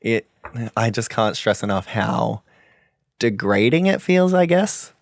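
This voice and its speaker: Young guy w a deep gravelly voice, rambles passionately, lots of likes, dry mic. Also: very animated, a little slow, dry recording. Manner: passionate, rambling, many fillers. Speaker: podcast co-host. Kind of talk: casual podcast chat.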